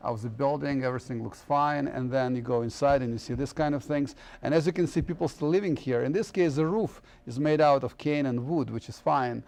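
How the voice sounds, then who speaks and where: A middle-aged man, a professor guest on a TV studio show, explaining carefully with pauses and fillers. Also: mid-range voice, creaky, darkish tone.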